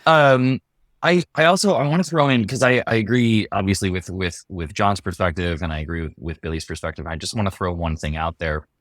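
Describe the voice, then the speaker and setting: Male actor in interview, very low register, dry sound, halting conversational delivery. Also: a bit bright, no echo. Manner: halting, thinking aloud. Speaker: actor interviewed about his show. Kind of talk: casual panel chat.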